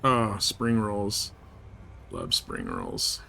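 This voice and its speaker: A man livestreaming a game, chatting casually in rambling, halting thoughts as he plays. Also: on the low side, wildly swooping pitch, creaky, pushed and bright, breathy edge. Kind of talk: casual chat while playing. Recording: noticeable background noise and game sounds. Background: game ambience and ship computer announcements.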